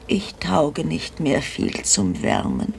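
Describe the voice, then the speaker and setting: Frail old granny in a radio play, super gravelly, slow and wistful. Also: female voice, deep voice, sing-song, exaggerated voice. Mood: resigned. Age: older. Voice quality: very gravelly, breathy, half-whispered. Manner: slow, musing. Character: frail, tender old grandmother, resigned and forgetful. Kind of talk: radio drama acting.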